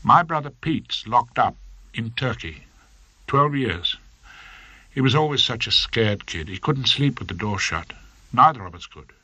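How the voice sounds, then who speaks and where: Older sounding guy doing a quiet nervous young woman in an audiobook, hesitant, pitched up a bit. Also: lively intonation, unhurried, no echo, rough recording. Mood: wistful, earnest. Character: anxious young woman client, quiet English teacher.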